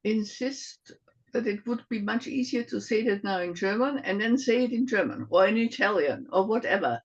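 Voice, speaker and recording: A woman's voice: medium pitch, lively intonation, normal speed, breathy edge, dull audio. A woman in a casual group conversation, offering advice with some hesitant fillers. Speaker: discussion group member.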